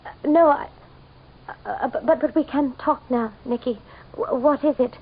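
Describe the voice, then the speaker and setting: An actress playing a frightened, haughty society wife in an old-time radio mystery, stammering and melodramatic. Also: female voice, sits high, moderate pace, close to an everyday voice. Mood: nervous. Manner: breathy gasps, stammering, melodramatic. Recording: tinny, noisy old radio drama recording.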